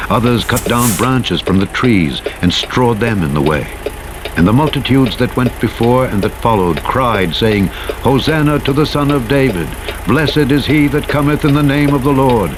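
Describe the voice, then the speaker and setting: A man narrating the Bible in a solemn, measured scripture-reading style with archaic phrasing. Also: mid-range voice, animated. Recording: clear engine and vehicle noise behind. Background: truck engine noise from a driving game.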